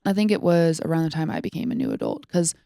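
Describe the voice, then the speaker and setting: A woman's voice: low voice, huge pitch swings, fast talker, creaky, dark tone, no echo, studio quality. A woman in her twenties chatting casually about books as a podcast co-host, in an informal way peppered with "like". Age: 20s.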